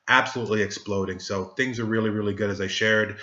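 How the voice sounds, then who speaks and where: Upbeat chatty man, bright and roomy. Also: fairly low pitch, fairly even intonation, quick pace, highs cut off. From Trinidad. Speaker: network marketing recruiter. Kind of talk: casual sales pitch to camera.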